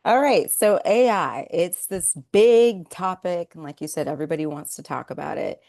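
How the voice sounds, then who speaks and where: A woman's voice: on the low side, normal speed, gravelly, darkish tone, dry recording, studio quality. A woman hosting a webinar interview, enthusiastic and upbeat. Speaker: host.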